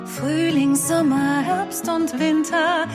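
A woman performing a gentle, dreamy narrator of mindfulness verse in a children's audio play. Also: sits high, fairly even intonation, slow. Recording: produced audio with loud music. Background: loud instrumental music.

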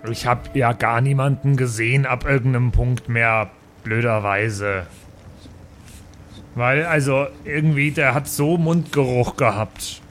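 A man's voice: medium pitch, steady tone, a little slow, a bit bright, slight background noise. A man improvising in character in a tabletop game, rambling and restarting his sentences. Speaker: player in a tabletop role-playing game.

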